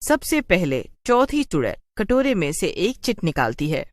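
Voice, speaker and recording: Low-pitched woman narrating a horror tale. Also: big pitch swings, normal speed, dry recording, studio quality. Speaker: story narrator. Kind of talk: scripted narration of cartoon horror stories.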